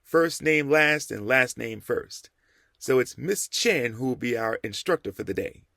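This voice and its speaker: A man voices an elderly reverend in an audiobook, speaking as a patient mentor. His lines are measured and formal, raised over the reader's low voice with a gravelly grain. The sound is dry and close. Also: lively intonation, sharp tone, no room sound, full character voice. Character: dapper old male reverend, calm mentor.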